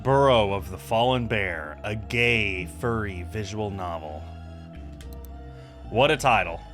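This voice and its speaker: A man's voice: fairly low pitch, slow pace. A man in his thirties giving casual game stream commentary and reading on-screen text aloud. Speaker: streamer, host. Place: indoor room at a streaming setup. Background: music.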